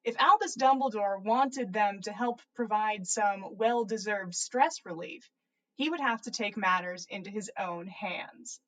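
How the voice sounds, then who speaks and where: A woman's voice: medium pitch, unhurried, a bit bright, poor audio. A woman hosting a story livestream, reading narration aloud in an informal way with casual asides. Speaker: podcast host reading stories.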